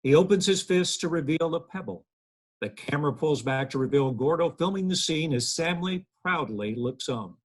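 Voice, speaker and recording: A man's voice: a little high, animated, moderate pace, slight background noise. A man in his thirties narrating stage directions at a table read, from home over a video call. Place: living room, video call.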